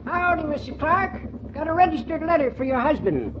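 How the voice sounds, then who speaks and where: A man's voice: very high voice, big pitch swings, normal speed. An actor playing a folksy, gossipy, drawling small-town postman in a 1940s radio drama. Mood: chatty, friendly. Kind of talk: 1940s radio character acting. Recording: muffled, noisy 1940s radio broadcast recording.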